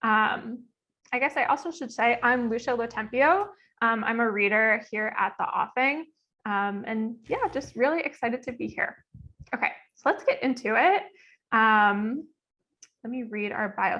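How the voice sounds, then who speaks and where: A woman's voice: on the high side, animated, measured pace, fairly bright tone, highs cut off. A woman speaking as moderator of an online event, informally and a little hesitantly introducing it and its guests. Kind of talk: informal event introduction, partly reading bios. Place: online video call.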